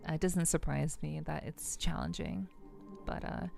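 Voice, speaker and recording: Low, creaky-voiced woman streamer chatting. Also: steady tone, moderate pace, dark tone, soft background music. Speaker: livestream host. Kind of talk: casual chat with livestream viewers.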